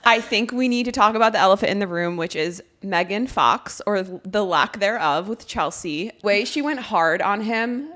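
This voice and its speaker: A woman's voice: mid-range voice, normal speed, hardly any pauses, a bit bright. Woman, thirties, podcast co-host, giggly, rambling casual chat.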